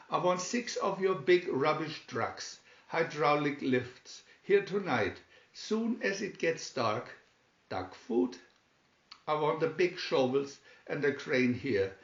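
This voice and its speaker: A man's voice: fairly high pitch, lively intonation, takes it slowly. A man voicing a scheming, blustering male tycoon villain from a storybook, with a commanding manner. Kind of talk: storybook character reading. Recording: dull, echoey room recording.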